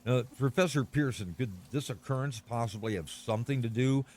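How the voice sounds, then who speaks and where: An actor playing an eager, courteous radio commentator in a 1930s style radio drama, speaking in a formal announcer's manner. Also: male voice, medium pitch, fairly quick, darkish tone, lightly acted. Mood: urgent, formal. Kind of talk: 1930s radio drama, live news interview.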